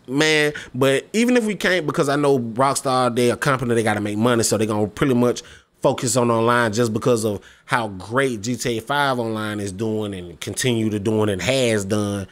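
A man in his twenties talking casually in a gaming vlog, laid-back and slangy, often pleading and repeating himself. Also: mid-range voice. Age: 20s. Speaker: gaming YouTuber.

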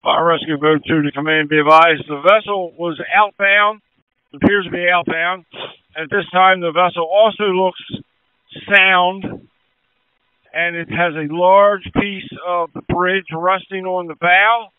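A man's voice: sits high, steady tone, a little slow, smooth, dry recording. A man on a fire crew making a procedural report over emergency radio. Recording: narrow tinny radio transmission.

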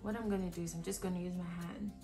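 Young woman with a cold, kinda husky, chatty hair tutorial, echoey room, soft music under. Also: lowish voice, fairly even intonation, fast. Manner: chatty, thinking aloud with ums and restarts. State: a bit hoarse from a cold. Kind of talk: casual step-by-step tutorial. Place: living room at home, indoors. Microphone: camera in front of her at desk distance.

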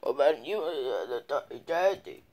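A man improvising a toy-car skit in a bedroom, with halting, rambling play-acting. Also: mid-range voice, big pitch swings, darkish tone. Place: bedroom at home.

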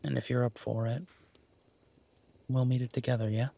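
A man playing a tender, teasing, easygoing werewolf boyfriend in an intimate romantic audio roleplay, murmuring softly with a husky voice. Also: mid-range voice, little pitch movement, moderate pace. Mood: tender, reassuring.

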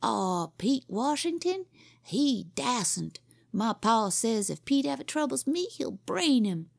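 Woman doing an excitable brash boy. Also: on the high side, takes it slowly, gravelly, no echo, clean studio sound, pitched above the performer's own voice, heavily acted. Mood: excited.

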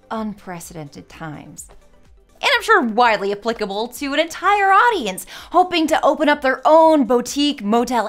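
A woman's voice: mid-range voice, fairly bright tone. A young American woman playing a saccharine, naive female fan of girlboss entrepreneurs in a comedy sketch. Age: young adult. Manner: saccharine, gushing. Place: pink studio set.

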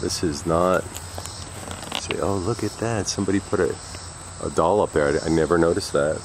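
A middle-aged man with a gravelly voice, talking casually and a little uneasily to viewers in a rambling handheld vlog. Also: sits low, moderate pace. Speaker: urban exploration vlogger. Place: abandoned derelict house and surrounding woods. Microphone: handheld camera at arm's length. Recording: faint outdoor noise with chirping insects.

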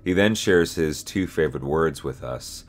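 A young man reading scripted narration as host, in a measured, serious, ominous storyteller manner. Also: very deep voice. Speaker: host and narrator of a horror explainer channel.

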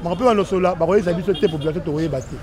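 A man's voice: fairly high pitch, very fast, background noise. A man in his thirties with a Congolese accent giving his opinion in a street interview outdoors. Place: outdoors, busy street by a shopfront.

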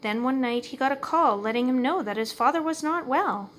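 A woman reading a picture book aloud as a storyteller, with expressive, measured, dramatic phrasing. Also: high-pitched, slight background noise. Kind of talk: expressive read-aloud narration.

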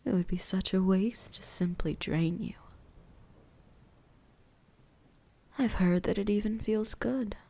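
A woman voicing an ancient, predatory and possessive vampire huntress in an ASMR roleplay, breathy and often whispering, with a teasing, menacing manner. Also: medium pitch, normal speed, mellow, lightly acted. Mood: seductive, possessive. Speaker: voice actress.

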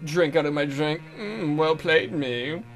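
A man's voice: on the high side, measured pace, over music, poor audio. A man hosting a let's play with casual, joking commentary and a teasing manner. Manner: teasing, jokey.

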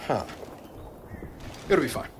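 A man's voice: slow pace, slight background noise, lightly acted. A man playing a wisecracking, reassuring soldier and tinkerer in a cinematic video game, with a casual delivery. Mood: casual, confident. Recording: game music and effects behind.

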